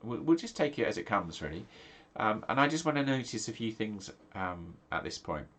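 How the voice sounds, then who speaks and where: A man's voice: on the low side, sing-song, moderate pace, a bit bright. A middle-aged man from England, a pastor, talking casually to camera with a stuffy, nasal voice and many ums and restarts. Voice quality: nasal, congested. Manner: rambling, many fillers and restarts. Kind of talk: casual video talk.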